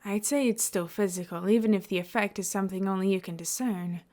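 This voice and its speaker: A woman's voice: mid-range voice, fairly even intonation, fairly quick, darkish tone, close to an everyday voice. Woman voicing a terse, exacting male executive. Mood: matter-of-fact. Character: terse, pointed male executive, hard to please.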